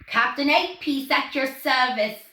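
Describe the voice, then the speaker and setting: Lady doing a bossy proud tiny pirate captain guy, slow n hearty, echoey room, storybook style. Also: sits high, sharp tone. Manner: grand, declaiming, roaring orders. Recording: roomy echo, clear background noise.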